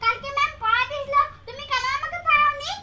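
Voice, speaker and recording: A cheeky little cartoon schoolgirl played by a voice performer with an extremely high voice and a Bangladeshi accent. She argues, wheedles and whines her way out of work, over a clearly noisy track. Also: fast talker. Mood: whiny, excusing. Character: cheeky, lazy little schoolgirl, bargaining and whiny. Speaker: cartoon character voice. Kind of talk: children's comedy cartoon acting.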